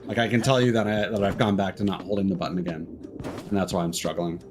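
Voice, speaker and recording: Low-voiced man casually streaming a game. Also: steady tone, quick pace. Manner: candid, rambling, swears casually. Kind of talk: casual live gameplay commentary. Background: game music.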